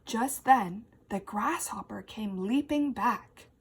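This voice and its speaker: A woman's voice: a little high, takes it slowly, husky. A woman teacher reading a children's story aloud expressively and asking young listeners questions.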